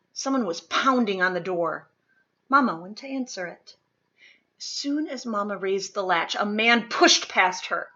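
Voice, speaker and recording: Bright-voiced, husky woman reading a storybook. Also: medium pitch, muffled recording. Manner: dramatic, with emphatic swells and hushed drops. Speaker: storybook reader. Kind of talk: expressive read-aloud of children's historical fiction.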